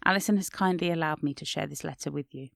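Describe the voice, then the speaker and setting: Woman, podcast host, low voice, reflective scripted narration, dry close sound. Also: quick pace, creaky, no room sound.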